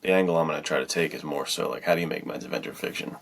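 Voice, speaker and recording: A man's voice: a bass voice, racing, vocal fry. A man co-hosting a podcast in casual chat, rambling along informally and with enthusiasm. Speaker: podcast co-host.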